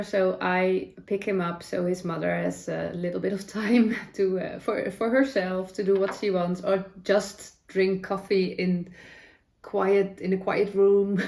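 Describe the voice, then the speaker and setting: A middle-aged Dutch woman with a Dutch accent, chatting casually about her day as a knitting vlogger at home, rambling with fillers and self-corrections. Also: mid-range voice. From Netherlands. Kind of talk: casual chat. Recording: roomy echo.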